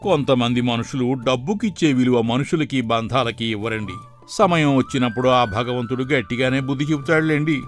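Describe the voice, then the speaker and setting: An actor playing a polite, chatty village temple priest who gently probes people in a moral story. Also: male voice, mid-range voice, fairly quick, faint music behind, close to an everyday voice. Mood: disapproving. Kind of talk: dramatised moral story dialogue.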